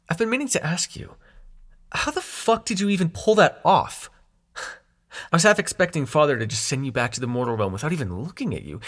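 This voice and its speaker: Man playing a gravelly, sardonic young demon prince. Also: on the high side, a bit bright, exaggerated voice. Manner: sardonic, teasing, hesitant when showing affection. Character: snarky young demon prince of nightmares, secretly soft-hearted. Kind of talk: intimate audio roleplay addressed to the listener.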